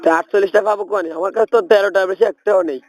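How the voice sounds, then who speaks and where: A man's voice: high voice, fairly even intonation, racing, no echo. A man with a rural regional Bangladeshi accent making a prank phone call, feigning confusion and rambling as he repeats himself. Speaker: prank caller.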